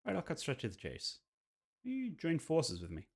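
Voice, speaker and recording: A man's voice: fairly high pitch, wildly swooping pitch, moderate pace, creaky, slightly rough, voice pushed up, full character voice. A man reading aloud a cocky, boastful rival curse bearer from a horror mystery visual novel, with taunting menace. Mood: scheming, persuasive.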